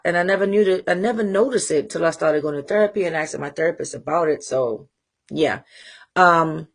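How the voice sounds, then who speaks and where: A woman with a Trinidadian accent hosting a live talk show, speaking candidly and rambling with pauses to find her thread. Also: sits low. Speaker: host. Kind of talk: casual livestream monologue.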